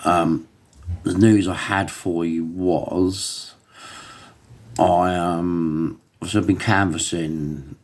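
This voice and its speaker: A middle-aged man vlogging to his phone, hoarse from a lost voice, rambling and defiant. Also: deep voice, steady tone, slow pace. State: hoarse, recovering voice. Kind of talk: casual talk to camera.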